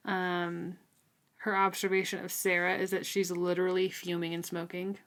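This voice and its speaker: A woman's voice: on the low side, monotone, normal speed, fairly bright tone. A woman co-hosting a casual book discussion, talking informally and thoughtfully. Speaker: podcast co-host.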